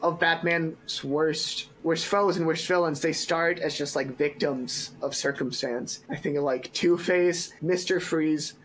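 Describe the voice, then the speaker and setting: Male podcast co-host, lighter higher voice, casual filler-heavy delivery. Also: steady tone, a little slow, hardly any pauses, fairly bright tone, a little hiss or hum, poor audio. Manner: casual, uses "you know" and "like" often. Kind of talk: casual film discussion.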